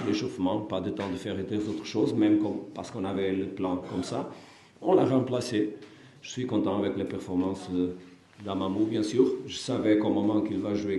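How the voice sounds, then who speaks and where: A man from Portugal, a football coach, speaking non-native French with a Portuguese accent at a post-match press conference, measured and halting with many fillers and restarts. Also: lowish voice, warm tone, roomy sound.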